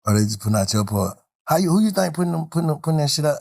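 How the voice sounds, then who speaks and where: A man's voice: on the high side, very animated, rapid-fire, creaky, a little mellow. A man interviewing casually in slangy speech, probing and rephrasing his questions.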